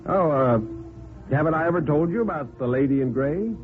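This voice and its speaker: A man's voice: fairly high pitch, lively intonation, fairly quick, pitched above the performer's own voice, close to an everyday voice. An American man playing a tipsy, smug society bachelor who talks in a mock-casual, hesitant drawl in a 1950s radio play. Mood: playful boasting. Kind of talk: 1950s radio drama acting. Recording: vintage radio recording, phone-like band, music behind.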